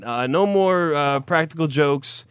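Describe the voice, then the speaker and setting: A man's voice: fairly high pitch, unhurried, smooth, no room sound, clean studio sound. A man hosting an internet radio show, teasing and profane in casual comic banter.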